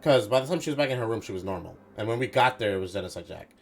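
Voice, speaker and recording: A man's voice: fairly low pitch, animated, rapid-fire, creaky. A man talking casually and informally as a game streamer, swearing and thinking aloud through his theories. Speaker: streamer playing a mystery game.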